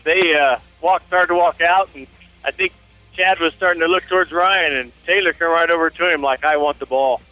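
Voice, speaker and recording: A man's voice: fairly high pitch, normal speed, sharp tone, no room sound, soft background music. A man doing informal, conversational color commentary on a baseball radio broadcast, with folksy phrasing and slightly dull audio.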